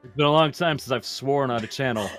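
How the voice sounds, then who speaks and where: A man's voice: medium pitch, fast talker, a bit bright, faint music behind. A man joking with friends and reading aloud in a playful, theatrical way. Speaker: player in a word game. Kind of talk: casual joking chat and comic reading aloud.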